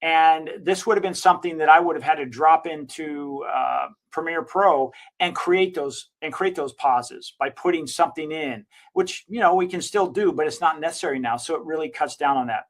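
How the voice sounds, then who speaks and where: A man who owns a digital marketing agency, giving an enthusiastic, rambling software walkthrough and correcting himself as he goes. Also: moderate pace, few pauses. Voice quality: slightly higher-pitched voice. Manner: enthusiastic, recommending. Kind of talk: casual screen-share software walkthrough.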